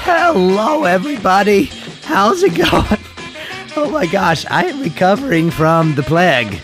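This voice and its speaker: A hoarse man opens his podcast in a loose, chatty way, laughing as he goes. His voice sits fairly high. Music plays in the background. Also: big pitch swings, measured pace. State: hoarse from illness. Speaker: podcast host. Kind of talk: casual show intro.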